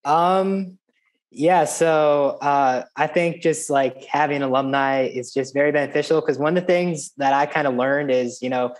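A man's voice: fairly high pitch, steady tone, measured pace. A young man student on a video call panel, earnest and hesitant, with many fillers.

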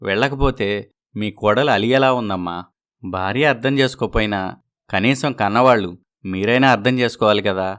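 A man's voice: on the low side, moderate pace, fairly bright tone, close to an everyday voice. Earnest dutiful grown son voiced by a man. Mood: pleading. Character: dutiful adult son torn between wife and parents. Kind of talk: animated moral story dialogue.

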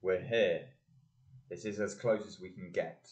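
A man's voice: deep voice, fairly even intonation, unhurried, a little mellow, dull audio. A man giving a solemn reading in an amateur audio play.

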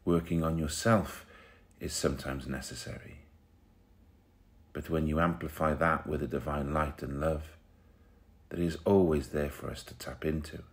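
A man leading a guided meditation in a soothing, unhurried way, pausing between short phrases. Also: extremely low pitch.

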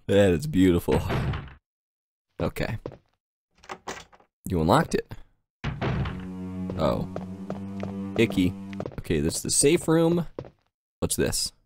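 A man's voice: low-pitched, sing-song, takes it slowly, pauses often, over music. A man giving casual let's play commentary, crude and exclamatory, swearing freely. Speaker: gaming video host.

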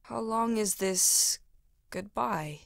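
A woman's voice: medium pitch, takes it slowly, vocal fry, dry recording. A woman acting in an audio drama as a caring, self-doubting young woman, in a natural style. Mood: gentle, sad. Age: young adult.

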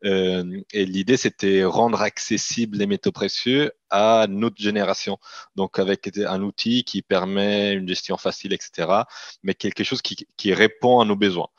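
A man's voice: fairly low pitch, fairly even intonation, normal speed, dry recording, muffled recording. A man in his thirties from Italian-speaking Switzerland, a native Italian speaker with a Swiss Italian accent, talking hesitantly as a guest over a video call. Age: 30s. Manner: hesitant, many restarts and fillers. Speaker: company CEO guest. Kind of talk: casual interview talk.

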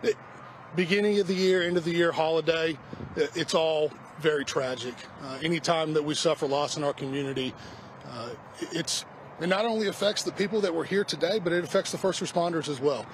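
A middle-aged man speaks as a fire department spokesman at an outdoor press briefing, stopping and restarting his words. His voice sits a little high for a man. Steady traffic and street noise run behind him. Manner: halting, repeats words when unsure. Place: outdoors by a parking lot. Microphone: news camera mic, close.

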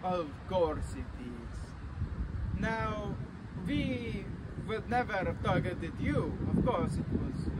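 Smooth-mannered Soviet commander for war parody, played by a young man, formal, slow, halting, loud wind and traffic. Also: sits high, lively intonation. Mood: smugly polite. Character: mockingly courteous male Soviet wartime officer. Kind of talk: amateur war parody acting. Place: open urban field outdoors. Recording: very noisy windy outdoor recording.

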